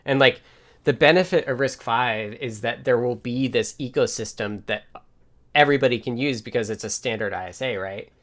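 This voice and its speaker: Male livestream host, developer type, mid pitch, casual technical chat, thinks out loud. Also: moderate pace. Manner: informal, thinks aloud while answering viewer questions.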